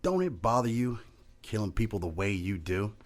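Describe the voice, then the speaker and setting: A man's voice: on the low side, very animated, moderate pace. An actor playing a defiant, surly American gunman in an Old West radio play, speaking curtly. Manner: curt, defiant. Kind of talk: old-time western radio play acting.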